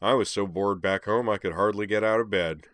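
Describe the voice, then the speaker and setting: A man voicing a huge, hearty young man with a touch of an island accent and a deep, rich, resonant voice. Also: a little fast, a bit bright, dry recording, close to an everyday voice. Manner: hearty, booming, gallant.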